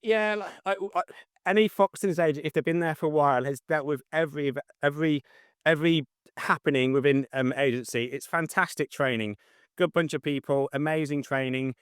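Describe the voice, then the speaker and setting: A man with a fairly high, clear voice answers questions in a casual interview. His delivery is slightly monotone and matter-of-fact, and the sound is close and dry. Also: fairly quick, no echo. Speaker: interview guest, estate agent.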